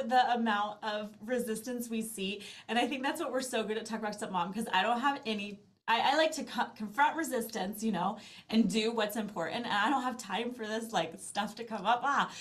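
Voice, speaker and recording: A middle-aged woman speaking as a podcast interview guest, explaining in an earnest, conversational way and often restarting her phrases. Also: mid-range voice. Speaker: interview guest, language learning company founder.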